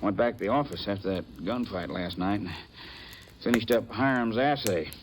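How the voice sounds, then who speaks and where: A man's voice: lowish voice, normal speed, close to an everyday voice. An actor playing an earnest, flustered frontier townsman in a 1950s radio western, stumbling and repeating himself in folksy speech. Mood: troubled, puzzled. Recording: noisy old radio recording.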